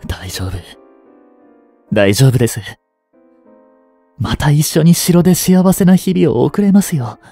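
A young man in his twenties playing a treacherous, smooth-tongued captive prince and spy in a Japanese audio drama, with courteous, theatrical speech that can turn mocking. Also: a little high, big pitch swings, mellow, dry recording, full character voice. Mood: coaxing, eager. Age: 20s. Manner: slow, theatrical, courteous speech slipping into taunts. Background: background music.

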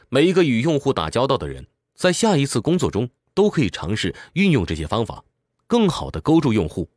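A man's voice: medium pitch, dry recording, studio quality. A man reading a scripted explanatory book summary in a structured, instructive way.

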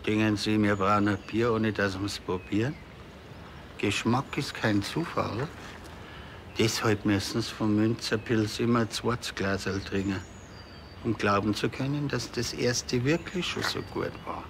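A self-satisfied salesman makes his pitch in a fairly low voice, in TV comedy style. There is slight background noise. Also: measured pace, fairly bright tone. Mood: smug, persuasive. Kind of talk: TV crime comedy acting.

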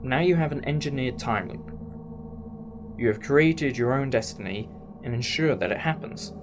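A man's voice: medium pitch, lively intonation, normal speed, noisy background, natural voice. A man acting in a fan audio drama as a thoughtful, reassuring alien time traveller who explains things in a musing, conversational way. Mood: musing, teacherly.

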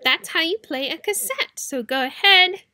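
A woman's voice: very high voice, lively intonation, moderate pace, bright, forceful tone, dry recording, studio quality. A young woman chatting casually and informally to viewers as she hosts a how-to video, in a giggly, rambling manner. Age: young adult. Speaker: YouTube host, cassette collector. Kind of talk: casual tutorial chat to viewers. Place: small bedroom, indoors.

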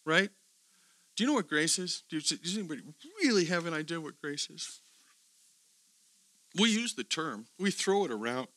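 A middle-aged man lectures a seminar group in a bright, forceful voice pitched a little high. He keeps putting questions to his listeners, sinks to murmured asides, then rises sharply for emphasis. The sound is dry and close. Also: moderate pace, pauses often, dry recording. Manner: animated, asks the audience questions, swings from quiet asides to loud emphasis. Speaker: theology teacher.

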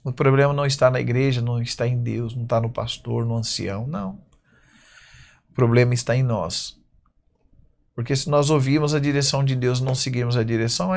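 A man in his thirties with a Brazilian accent, preaching a sermon at a church pulpit, reading verses slowly and then speaking in quick bursts. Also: medium pitch, gravelly. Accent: Brazilian Portuguese. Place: church stage at a wooden pulpit.

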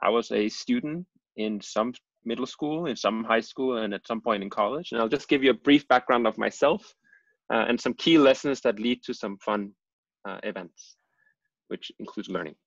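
A man in his thirties from Denmark giving a casual, self-deprecating talk over a video call, often pausing with ums. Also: mid-range voice, dry recording. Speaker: guest presenter, engineering professor. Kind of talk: casual lecture. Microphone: computer mic on a video call. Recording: dull, lo-fi video call audio.

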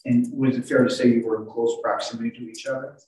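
An older male attorney questions a witness haltingly, often doubling back on his words. His voice is dark and mellow, picked up from a distance in a very echoey space. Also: medium pitch, little pitch movement. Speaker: defense attorney cross-examining. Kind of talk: courtroom cross-examination. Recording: very echoey, distant video call audio.

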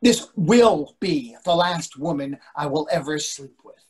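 Give this man's performance of an older stage-comedy husband a husky, rough edge and very animated swings. He is anxious, needling and bossy, forever questioning his wife. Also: on the high side, a little slow. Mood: exasperated, wry. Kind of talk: readers theatre play reading. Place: indoors on a video call.

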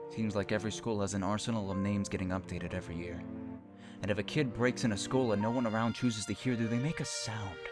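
A man giving a dramatic spoken-word poetry reading in an impassioned, theatrical and deliberate way. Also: lowish voice. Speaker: poetry performer. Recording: musical score under the voice. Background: music.

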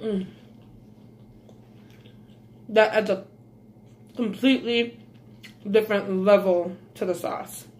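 A woman from Belize with a light Belizean accent chats slowly and unhurriedly as she eats on camera, pausing often for contented mm's. She sits at a table in a small room at home, recorded by a phone camera a short way off. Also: medium pitch, fairly even intonation, a little mellow. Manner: slow, savoring, with frequent mm's while eating. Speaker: mukbang vlogger. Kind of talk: casual eating vlog.

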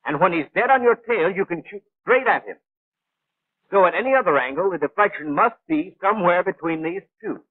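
A high-voiced, exacting male instructor in an old wartime training cartoon. He lectures in precise, clipped phrases with a very lively rise and fall. The sound is a thin, band-limited film soundtrack. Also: brisk, dry recording, narrow, tinny audio. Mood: instructive. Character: brisk, know-it-all male gunnery instructor, patient but exacting. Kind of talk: 1940s animated training film narration.

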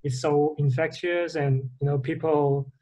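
A man's voice: fairly high pitch, steady tone, unhurried, mellow, poor audio. A young man from the Netherlands, a guest on a video call from a bedroom, talking hesitantly and searching for words. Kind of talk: casual interview. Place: bedroom, indoors.

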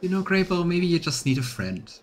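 A man's voice: high voice, very animated, a little fast, slight background noise. A man in his thirties streaming a game, commenting casually and reading dialogue aloud. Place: small room indoors, on webcam. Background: game music.

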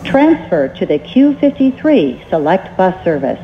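A woman reading scripted transit announcements as a train announcer, in short, measured, formal phrases. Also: on the low side, very animated. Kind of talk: scripted automated station announcements. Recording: muffled recording with train noise.

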